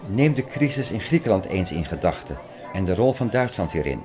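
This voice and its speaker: Actor as a deep-voiced obsessive conspiracy theorist. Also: male voice, sing-song, fairly quick, a crowd in the background. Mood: insistent, urgent. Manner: earnest, lecturing, insistent. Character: obsessive, agitated male conspiracy theorist expounding apocalyptic prophecies. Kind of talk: Dutch audio drama acting. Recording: music behind the voice.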